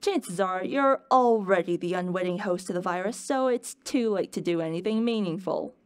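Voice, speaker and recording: A woman's voice: lowish voice, moderate pace, clean tone, no echo, clean studio sound, close to an everyday voice. A woman playing a wry, playful professor who delivers a scripted lecture in a conversational, plain-explaining way. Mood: wry, reassuring. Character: playful, wry professor giving an unasked-for office-hours lecture. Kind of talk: scripted educational lecture in character.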